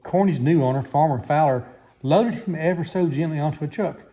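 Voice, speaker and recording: A man's voice: on the high side, brisk, dark tone. A man reading a children's story aloud as a scripted narration. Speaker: storybook reader.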